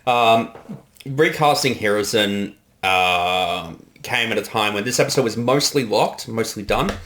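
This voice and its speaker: A man with a fairly low voice gives casual director's commentary. He explains choices reflectively, pausing and picking through his thoughts. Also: a bit bright, a little hiss or hum. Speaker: director giving creator commentary. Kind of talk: casual behind-the-scenes commentary.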